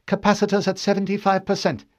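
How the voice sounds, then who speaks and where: Man acting a high, keyed-up weapons lieutenant. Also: monotone, quick pace, lightly acted. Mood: tense, excited. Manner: high, urgent military reporting.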